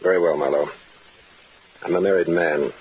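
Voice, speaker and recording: A guarded, moneyed husband played by a male actor in a vintage radio drama. He speaks tersely in a low voice, on a dull old recording with faint noise. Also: steady tone, moderate pace, natural voice. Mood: rueful. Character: guarded, well-off married man, curt and businesslike. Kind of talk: old-time radio detective drama acting.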